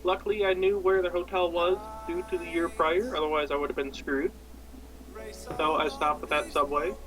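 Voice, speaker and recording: Young american guy, pretty high voice, rambling casual story, pokes fun at himself, music playing behind. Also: rough recording. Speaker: podcast storyteller. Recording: background music throughout.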